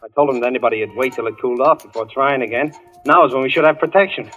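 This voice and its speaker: An actor playing a bossy, brusque dance hall manager with slangy talk in a 1940s radio thriller. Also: male voice, mid-range voice, very fast, fairly bright tone, natural voice. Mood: impatient. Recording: muffled old radio recording, music behind.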